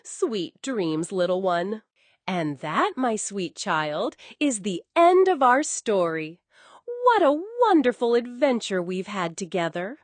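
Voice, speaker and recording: A woman's voice: mid-range voice, big pitch swings, takes it slowly, no room sound. A woman narrating a scripted bedtime story for young children, coddling the listener with endearments.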